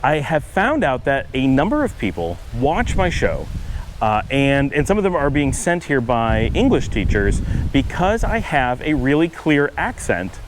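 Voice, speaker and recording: Middle-aged man, Buffalo-Cleveland accent, quick casual outdoor vlogger, medium pitch. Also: very animated, a little hiss or hum, rough recording. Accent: Buffalo-Cleveland American. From upstate New York. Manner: fast-talking, trying to slow down. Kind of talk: casual walking vlog. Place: outdoors on a windy street.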